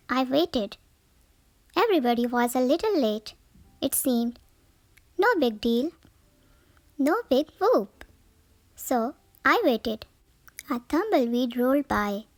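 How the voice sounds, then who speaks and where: A woman reading a children's picture book aloud as a storyteller, with expressive, careful phrasing. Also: high-pitched, dry recording.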